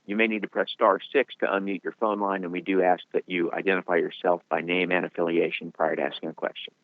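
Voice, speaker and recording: A man's voice: low voice, flat delivery, fast, dry recording. A man moderating a conference call with businesslike procedural announcements. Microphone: telephone. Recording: phone-like conference line.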